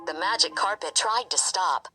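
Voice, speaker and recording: A woman narrating a scripted children's story in an expressive, animated way. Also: on the low side, normal speed, soft background music. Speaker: storybook reader.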